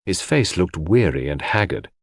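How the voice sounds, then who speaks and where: A man's voice: low-pitched, very animated, moderate pace, creaky, dry recording, clean studio sound. A man narrating a story in the first person as a scripted audiobook.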